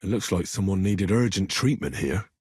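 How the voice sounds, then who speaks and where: A man's voice: deep voice, animated, moderate pace, darkish tone, breathy edge, no room sound, studio quality, heavily acted. An actor playing an earnest, stubborn young medieval man in a video game, speaking in a direct, persuasive way. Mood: serious. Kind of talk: acted video game dialogue lines.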